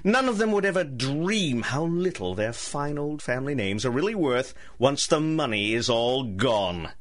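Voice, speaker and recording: An actor playing an embittered, scheming ruined gentleman in a radio drama, with formal, theatrical speech. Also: mid-range voice, animated, moderate pace, close to an everyday voice. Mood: bitter, scornful. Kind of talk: 1930s-set radio drama acting.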